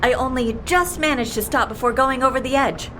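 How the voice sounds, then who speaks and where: A brisk female agent who flies her own plane in an audio fiction series. She is talkative, wry and blunt, with a higher-pitched voice. Light ambient sound sits behind her. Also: a bit bright, slight background noise. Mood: chatty, teasing. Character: brisk, chatty, blunt female death-faking agent and pilot. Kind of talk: audio drama dialogue.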